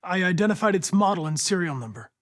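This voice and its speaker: High-voiced, formal android detective. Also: male voice, expressive, fast talker, fairly bright tone, dry recording, studio quality. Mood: earnest, formal. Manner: formal, precise, controlled diction. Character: polite, determined male android police investigator, young adult. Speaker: video game character. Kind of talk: video game voice acting.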